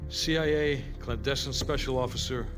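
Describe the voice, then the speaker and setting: A man's voice: mid-range voice, moderate pace, close to an everyday voice. An actor playing a serious, authoritative male intelligence officer giving a formal briefing in a video game. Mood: grave, formal. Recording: loud music over dialogue.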